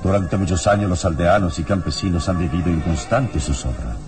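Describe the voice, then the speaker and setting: An actor playing a calm, resolute hero in a radio drama, speaking in a measured, solemn, authoritative way. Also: male voice, sits low, music in the background, lightly acted. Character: calm, resolute male hero, a righteous defender of justice.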